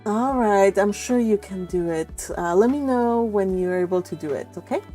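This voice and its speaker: A woman hosts a casual livestream in a chatty, encouraging way. Her voice sits at a middle pitch, with music playing behind it. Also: lively intonation, moderate pace, mellow. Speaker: host of a group read-along stream.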